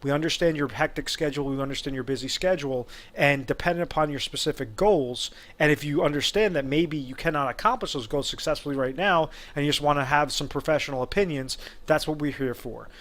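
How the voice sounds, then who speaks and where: A man's voice: on the high side, fast, hardly any pauses, a bit bright. A man working as a retirement advisor who explains rollovers in an unscripted way, with frequent fillers and restarts. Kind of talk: explainer video talk.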